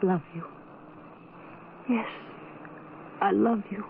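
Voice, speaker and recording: A woman playing a tender, devoted blind woman pleading with her beloved in halting, earnest phrases, in the style of old radio melodrama. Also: medium pitch, gravelly. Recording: muffled, noisy vintage radio recording.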